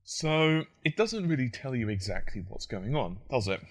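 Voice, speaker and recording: A man with a croaky, just-woken voice, explaining technology in a solo monologue, with fillers and self-corrections. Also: medium pitch, sing-song, moderate pace. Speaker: tech commentator.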